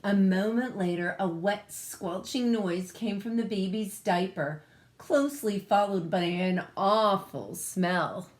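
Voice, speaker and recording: A woman teacher reading a picture book aloud to children, expressive and often putting questions to her listeners. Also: medium pitch, slow pace.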